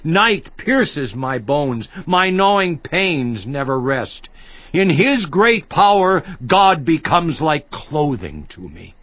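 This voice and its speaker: A grieving, once-honored patriarch of an audio Bible drama, anguished and declamatory. His fairly high male voice moves slowly and swings widely in pitch, with a roomy echo around it. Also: lo-fi sound. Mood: anguished lament. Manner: theatrical, declamatory, with long dramatic pauses. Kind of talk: dramatized scripture monologue.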